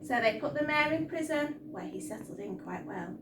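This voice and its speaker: High-voiced woman storyteller, echoey room. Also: normal speed. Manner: animated, addressing young listeners. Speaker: children's storytime reader, teacher. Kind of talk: expressive read-aloud storytelling for young children. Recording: roomy, distant mic, noisy background.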